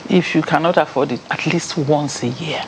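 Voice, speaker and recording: Measured woman professor. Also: sits low, background noise. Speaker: professor on a TV panel. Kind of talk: studio discussion.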